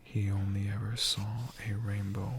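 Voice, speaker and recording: A storyteller whispering a tale for ASMR in a hushed, breathy voice with slow, dramatic pauses. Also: sits low, monotone, background noise. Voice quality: breathy whisper with creaky edges.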